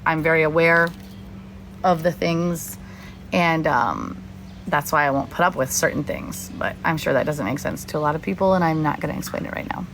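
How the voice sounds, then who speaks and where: A woman's voice: sits low, moderate pace, fairly bright tone, slight background noise. Candid, rambling young American woman. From United States. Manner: rambling and candid, swears freely, many likes and ums. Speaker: livestream host. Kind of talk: casual livestream chat. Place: outdoors at a beach or lake.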